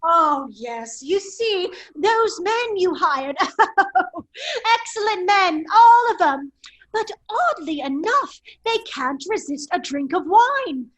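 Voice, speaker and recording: A foppish, secretly daring gentleman played by a woman in a radio drama. The voice sits extremely high and takes its time, with affected courtesy and a giggly, teasing edge. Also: big pitch swings, slow, full character voice, poor audio. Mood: gleeful mockery. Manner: affectedly polite, drawling, giggly. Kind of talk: theatrical radio drama table read.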